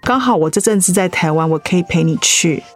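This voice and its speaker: An actress playing a warm, reassuring close friend in a radio drama, expressive and encouraging. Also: female voice, fairly low pitch, fairly quick, soft background music. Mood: concerned, reassuring.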